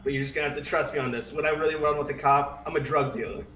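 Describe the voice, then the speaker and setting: A man chatting casually as a player in a tabletop roleplay game, a little halting. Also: a little high, steady tone, pushed and bright. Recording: roomy echo, faint music behind.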